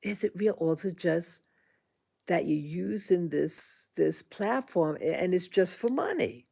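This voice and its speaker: A woman in her sixties talking into her own vlog, halting and indignant, with frequent restarts and fillers. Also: lowish voice, expressive, gravelly, warm tone, breathy edge. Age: 60s. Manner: aggrieved, indignant. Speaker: vlogger. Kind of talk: personal monologue vlog.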